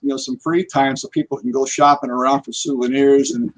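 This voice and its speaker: A man's voice: medium pitch, little pitch movement, fairly quick, rough recording. A man in casual conversation as a guest paranormal investigator on a live talk show, rambling and repeating words as he goes.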